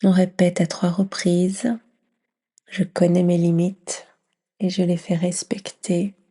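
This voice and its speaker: A woman leading a guided yoga nidra meditation in a gentle, soothing near-whisper with long pauses. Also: on the low side, level tone, breathy edge.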